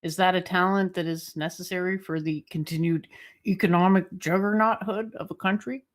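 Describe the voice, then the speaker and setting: A woman comments in a sardonic, questioning way. Her voice is slightly low for a woman, and her manner is openly sarcastic. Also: fairly even intonation, normal speed.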